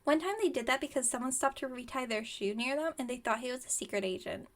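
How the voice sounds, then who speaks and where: Woman as scatterbrained young witch running a shop: high voice, theatrical salesmanship, chatty rambling, ASMR roleplay. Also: fast, lightly acted. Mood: chatty, confiding. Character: flustered, dramatic, scatterbrained young female witch shopkeeper.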